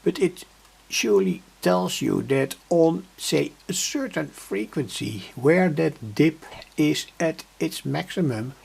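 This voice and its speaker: A man giving an unscripted electronics demonstration, halting as he searches for words, with a husky, creaky voice. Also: a little high, sing-song, a little mellow. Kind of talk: unscripted workbench demonstration vlog. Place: indoor hobby workbench.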